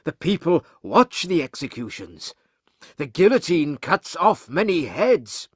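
Man as storybook banker, grave, emphatic, raised pitch, measured slow delivery, animated intonation. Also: husky, dry recording, studio quality, exaggerated voice. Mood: grave, urgent. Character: male banker gravely bringing alarming news.

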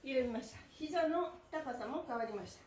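High-pitched, upbeat woman leading stretches. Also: animated, normal speed, a little mellow, slight background noise. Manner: upbeat, encouraging, singsong chanting of cues. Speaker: stretching instructor. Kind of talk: guided exercise class with chanted counts. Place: small indoor room on exercise mat. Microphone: camera a few meters away. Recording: echoey room, distant camera mic.

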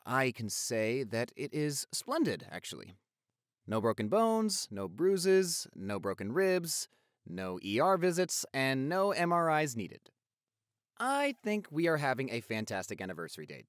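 Young male lead acted by a man: affectionate, playful doctor boyfriend for intimate audio roleplay, chatty, with very lively intonation. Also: medium pitch, measured pace, dry recording, clean studio sound. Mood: playfully pleased. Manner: gushing, chatty, with repeated words and exclamations.

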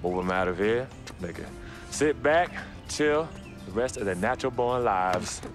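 A calm, earnest young athlete played by a man in a video game scene. His voice is on the low side and laid-back. Music is clearly audible behind it. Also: normal speed, lightly acted. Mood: hopeful. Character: calm, hopeful young basketball star, devoted son. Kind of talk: naturalistic video game cutscene acting.

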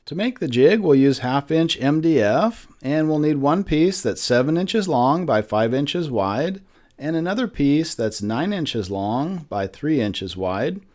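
A man's voice: on the high side, very animated, a little slow, darkish tone. A man in his forties giving a friendly step-by-step woodworking lesson in his wood shop. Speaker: woodworking instructor. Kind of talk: instructional shop tutorial. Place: wood shop with lumber racks, indoors.

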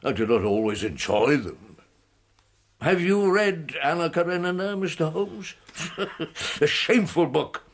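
An actor playing an elderly, courtly invalid professor in a radio drama, British, wheezy and halting, with ornate phrasing. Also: male voice, on the high side, expressive. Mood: scornful. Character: elderly invalid scholar, courtly, self-pitying, secretly scornful.

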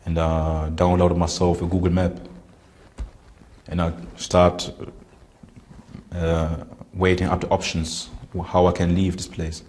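A man, recounting events haltingly in an interview and searching for words. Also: deep voice, monotone, darkish tone, slight background noise. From Germany. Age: 20s. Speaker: interviewee, former militant. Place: indoor room with barred windows.

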